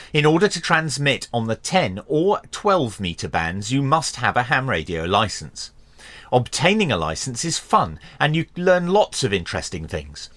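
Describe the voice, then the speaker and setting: Male reviewer, methodical and explanatory, medium pitch with lively inflection, dry recording. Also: dry recording. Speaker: radio reviewer presenting a video. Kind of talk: hands-on product review commentary.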